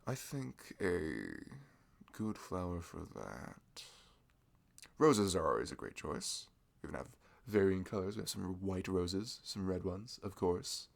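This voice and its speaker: Young man, deep gravelly voice with lively swings, giggly chatty shopkeeper roleplay. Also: unhurried. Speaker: ASMR roleplay creator. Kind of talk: scripted audio roleplay, chatty.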